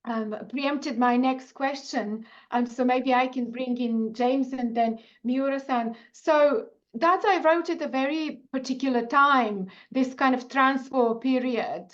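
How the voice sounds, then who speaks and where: A woman moderates an online literary panel, her voice sitting a little high and sounding slightly muffled over a video call. She asks her questions inquisitively and hedges them as she goes. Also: unhurried, few pauses. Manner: inquisitive, hedging with fillers. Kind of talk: round-table webinar discussion.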